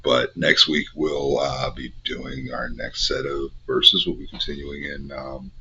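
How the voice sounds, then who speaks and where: An older man with a head cold, hosting a Bible study and teaching in measured, incantatory short phrases. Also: a bass voice, animated, vocal fry, bright, forceful tone, husky. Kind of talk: spiritual teaching monologue. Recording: dull lo-fi recording.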